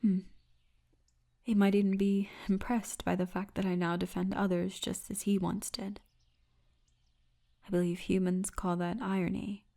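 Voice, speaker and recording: A woman voicing a big orc guardswoman, gentle, wry and commanding, in a hushed, breathy ASMR roleplay murmur. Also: mid-range voice, little pitch movement, a little slow, pauses often. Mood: wistful, guarded.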